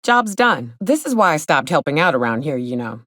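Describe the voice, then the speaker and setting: An actress playing a gruff, hard-drinking female monster hunter in a video game, sardonic and blunt, with a loose, earthy drawl. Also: low voice, sing-song, normal speed, a bit bright, no echo, studio quality, full character voice. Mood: exasperated. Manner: sardonic, blunt, swaggering, colloquial swearing. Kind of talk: video game character dialogue. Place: close, dry studio recording.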